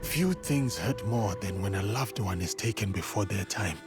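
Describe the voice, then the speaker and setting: An actor playing a calm, principled warrior in a game drama, speaking in a measured, formal and deliberate way. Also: male voice, fairly low pitch, loud music behind the voice, close to an everyday voice. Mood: gentle, solemn. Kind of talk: dramatic game cutscene acting.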